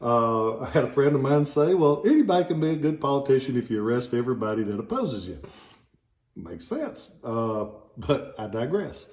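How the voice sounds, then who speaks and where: A man's voice: mid-range voice, very animated, normal speed, darkish tone. A man with a slight Southern American accent, a relic dealer casually showing old ballots, rambling with folksy jokes and asides. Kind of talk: casual informational video. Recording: echoey room.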